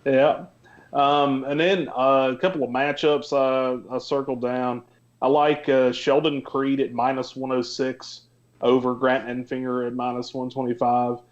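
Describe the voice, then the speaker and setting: A man's voice: medium pitch, level tone, unhurried, highs cut off. A man giving casual sports betting picks as an analyst, in a matter-of-fact, conversational way with some hesitation.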